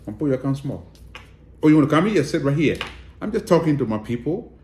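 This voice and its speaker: A man's voice: a little high, big pitch swings, fast, dark tone, reverberant, a little hiss or hum. A man with a Liberian accent giving direct, emphatic political commentary and appealing to viewers. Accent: Liberian English.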